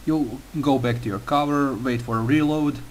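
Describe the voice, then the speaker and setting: A young man with a Croatian accent narrates a game tutorial at a mid-range pitch, working through his sentences with frequent pauses and filler sounds. A faint hiss sits under his voice. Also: steady tone. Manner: careful non-native phrasing with frequent uh fillers. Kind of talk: gameplay tutorial voice-over.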